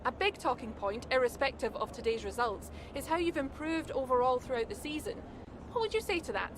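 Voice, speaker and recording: An actress playing a brisk, probing trackside reporter asking post-race interview questions in a video game. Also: female voice, sits high, animated, background noise.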